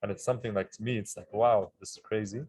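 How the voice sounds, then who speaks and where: A man's voice: lowish voice, fairly even intonation, fast, darkish tone, breathy edge, dry recording. A young man who teaches Arabic, chatting casually and enthusiastically, with a loose, rambling delivery full of fillers and restarts. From Levant. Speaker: Arabic teacher and language coach. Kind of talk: casual conversation.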